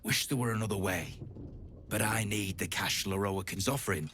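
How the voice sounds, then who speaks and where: A man's voice: fairly low pitch, moderate pace, fairly bright tone, slight background noise, close to an everyday voice. A man playing a cocky, ruthless mercenary leader in a fantasy video game, swaggering and colloquial. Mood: menacing.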